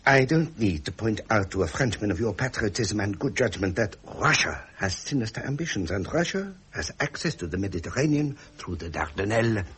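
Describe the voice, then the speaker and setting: An actor playing a genial English royal heir in a radio drama, speaking with courteous, earnest eloquence. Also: male voice, lowish voice, lively intonation, brisk, few pauses, fairly bright tone. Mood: earnest persuasion.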